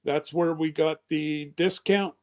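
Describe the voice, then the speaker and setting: Man, fifties, live-stream host, casual rambling chat, unhurried, slightly high voice. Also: fairly even intonation, darkish tone. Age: 50s. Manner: rambling, loose and conversational.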